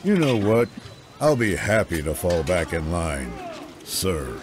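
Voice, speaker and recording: An actor playing a cynical, self-preserving scout in a fantasy audiobook, wry and defiant. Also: male voice, low-pitched, very animated, slow pace, crowd noise, heavily acted. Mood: sardonic. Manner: wry, defiant, plain-spoken. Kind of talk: dramatised fantasy audiobook acting.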